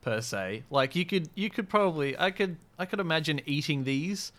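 A man's voice: fairly high pitch, lively intonation, normal speed, fairly bright tone. A man co-hosting a podcast in casual chat, talking in a hesitant, rambling, wry way. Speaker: podcast co-host.

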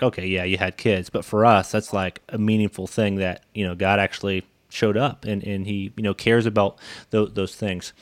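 A man's voice: fairly low pitch, no echo. A young man hosting a casual interview, talking in an informal, easygoing, meandering way. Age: young adult. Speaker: podcast host.

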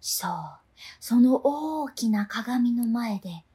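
A woman's voice: on the high side, lively intonation, takes it slowly, warm tone, breathy edge, exaggerated voice. An actress playing an oblivious, unflappable schoolgirl who speaks earnestly and breezily in a comedic radio drama. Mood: storytelling. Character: unflappable, airy schoolgirl telling school ghost stories.

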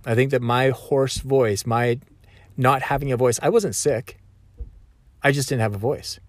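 A man's voice: mid-range voice, measured pace, dry recording. A man talking reflectively in a conversational, self-correcting way. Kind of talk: reflective solo talk.

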